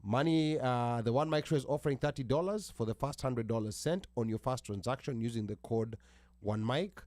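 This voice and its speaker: A man with an American accent hosting a panel talk show, speaking haltingly with restarts and reading from notes. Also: mid-range voice, a little mellow, dry recording. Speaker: host.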